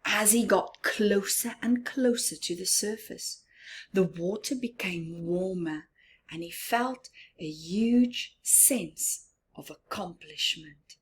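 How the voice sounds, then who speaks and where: A woman reading a picture book aloud to young children, dramatic and expressive, with simple asides and questions to the listener. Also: medium pitch. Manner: slow, simple child-directed phrasing. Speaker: storyteller for children.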